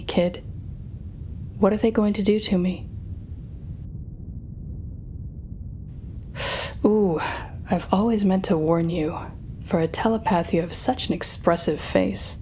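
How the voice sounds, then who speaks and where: A woman's voice: on the low side, a little mellow, a little hiss or hum, lightly acted. A woman playing a sardonic, smug telepathic supervillain, taunting and theatrical as she speaks to the listener in an audio roleplay drama. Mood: grim, needling. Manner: taunting, theatrical, drawn-out teasing phrasing.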